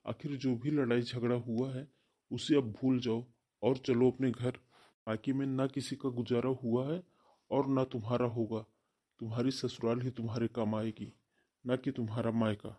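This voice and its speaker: Man acting an earnest husband, medium pitch. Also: little pitch movement, moderate pace, dark tone, natural voice. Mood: pleading, hurt. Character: earnest young husband, devoted to his sister. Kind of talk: Hindi cartoon family drama dialogue.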